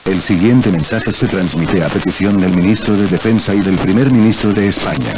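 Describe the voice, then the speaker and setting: A man with a deep voice delivers an emergency alert over audible noise. He reads the scripted announcement formally and impersonally, like an official announcer. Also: normal speed, a little mellow, low-quality recording. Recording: clear background noise.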